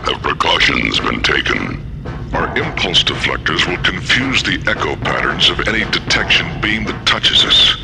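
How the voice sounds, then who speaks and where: Very deep, gravelly alien commander. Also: male voice, expressive, normal speed, over music, rough recording. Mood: solemn, menacing. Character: ominous, patient alien commander of an insect ship. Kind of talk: old-time science fiction radio drama.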